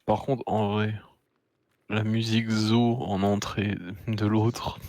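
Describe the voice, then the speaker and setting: A man's voice: on the low side, little pitch movement, takes it slowly, darkish tone, no room sound, clean studio sound. A young man chatting informally and in slang with friends while they play a game together. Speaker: gamer playing with friends. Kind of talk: casual chat during gameplay.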